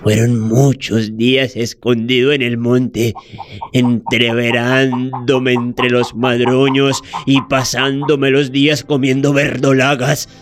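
An actor playing a weary old peasant father in a radio drama, haunted and self-justifying, speaking haltingly and ruminatively. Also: mid-range voice, little pitch movement, close to an everyday voice. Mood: aggrieved, weary. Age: older. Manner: slow, halting, ruminative delivery. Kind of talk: dramatic monologue, radio play acting. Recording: roomy echo, faint music behind.

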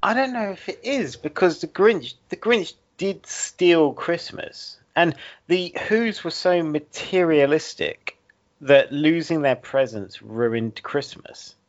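A man's voice: fairly high pitch, animated, takes it slowly. Essex man, podcast host, rambling and argumentative, dull lo-fi recording. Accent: Essex English. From Essex, England. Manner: rambling, argumentative, self-correcting. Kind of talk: casual banter. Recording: dull lo-fi sound.

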